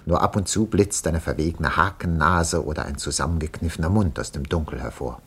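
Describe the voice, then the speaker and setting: An actor playing a solemn narrator, giving atmospheric scripted narration in a radio crime play. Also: male voice, a bass voice, brisk, fairly bright tone, slightly rough, heavily acted. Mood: solemn, ominous. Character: solemn crime-story narrator, timidly pedantic when interrupting.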